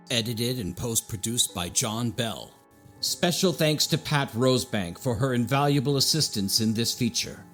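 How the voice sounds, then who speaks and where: A man's voice: mid-range voice, fairly bright tone. A man reading scripted credits as a formal, theatrical announcer in the style of an old-time radio suspense show. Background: musical score.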